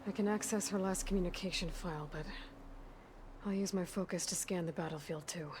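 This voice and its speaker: A woman voicing a serious, observant young warrior scout in a video game, muttering her thoughts in hushed asides. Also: on the low side, fairly even intonation, brisk, lightly acted. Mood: focused, pondering. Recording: game audio with clear ambient noise.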